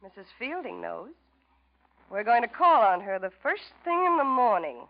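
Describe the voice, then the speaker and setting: An actress playing a grasping, insistent wife in a 1940s radio noir drama, urgent and melodramatic. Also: female voice, a little high, big pitch swings, normal speed. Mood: hard, determined. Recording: noisy, dull old radio recording.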